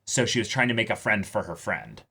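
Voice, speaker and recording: A man co-hosting a reaction show, casually analysing a story in an explanatory way, often halting to search for words. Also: on the low side, very animated, gravelly, pushed and bright, husky. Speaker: co-host of an anime reaction show.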